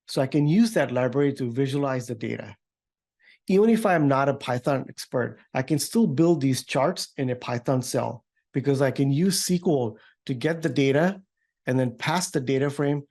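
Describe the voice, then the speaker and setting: A man giving an informal, upbeat software demo walkthrough as a developer advocate. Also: mid-range voice, gravelly. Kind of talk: tech demo walkthrough, semi-scripted.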